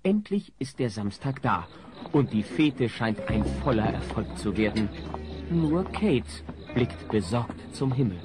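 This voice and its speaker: A man's voice: medium pitch, sing-song, unhurried, over music. A man playing the wry, dramatic narrator of a comedy audio play. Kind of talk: scripted narration.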